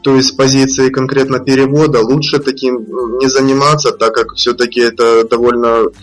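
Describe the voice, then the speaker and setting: Measured man explaining translation work. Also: medium pitch, level tone, faint music behind, poor audio. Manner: explanatory, measured, with fillers and restarts. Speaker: freelance translator guest. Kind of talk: podcast interview answers.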